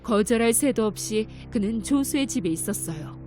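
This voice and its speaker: Gentle, slightly high female narrator for a kids' story, over music. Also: animated, moderate pace.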